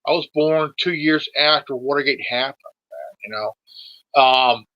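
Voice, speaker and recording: A man's voice: medium pitch, measured pace, dry recording, highs cut off. A man in his forties in a casual political discussion, sweary and emphatic, often stumbling and repeating his words. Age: 40s.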